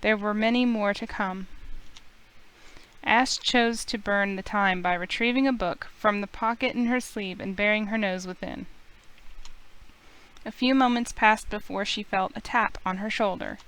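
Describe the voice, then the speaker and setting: A woman's voice: mid-range voice, steady tone, a little slow, smooth, poor audio. A woman streamer reading a visual novel's narration aloud like a storybook during a playthrough. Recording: loud music under the voice. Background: game music.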